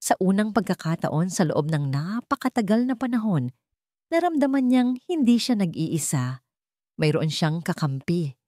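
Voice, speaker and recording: A woman's voice: fairly low pitch, sing-song, normal speed, warm tone, no room sound, clean studio sound. A woman narrating a dramatic scripted story.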